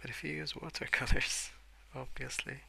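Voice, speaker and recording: A man speaking in a soft, hushed ASMR ramble, drifting between murmur and whisper in a hesitant, unhurried way. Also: medium pitch, flat delivery, gravelly, a little hiss or hum. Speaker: host of an ASMR colouring channel. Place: indoors at a wooden desk.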